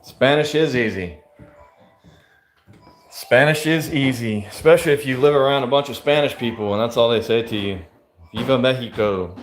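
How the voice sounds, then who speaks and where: A man's voice: mid-range voice, normal speed. A man livestreaming and answering chat in a loose, rambling way, full of fillers. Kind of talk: casual chat.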